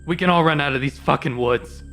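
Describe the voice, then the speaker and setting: A man's voice: a little high, fairly quick, a bit bright, soft background music, voice pushed up. A man voicing a wary, tense young male musician, blunt and uneasy, in a horror story. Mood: panicked, blunt.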